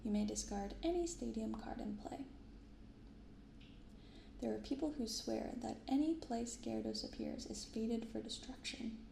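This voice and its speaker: A soft-spoken woman hosting an ASMR unboxing, reading and commenting gently and without hurry. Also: a little high, normal speed, creaky, echoey room, slight background noise. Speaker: card unboxing video host. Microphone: binaural microphone close up.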